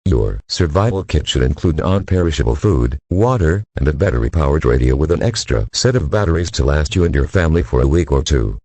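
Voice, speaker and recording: A synthetic-sounding man's voice as a weather radio announcer, reading official bulletins in a measured, impersonal way. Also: extremely low pitch, animated, darkish tone, breathy edge, dry recording, heavily acted. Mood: calm, official.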